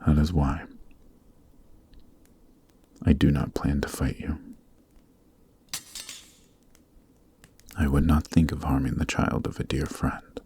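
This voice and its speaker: A man playing a weary, noble Demon King in a fantasy ASMR roleplay, speaking softly and solemnly with a breathy voice. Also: flat delivery, a little hiss or hum. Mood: resigned, pleading. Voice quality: very deep, soft, breathy, half-whispered. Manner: slow, solemn, earnest, formal phrasing. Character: weary, noble adult male Demon King, sorrowful and gentle. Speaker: voice actor.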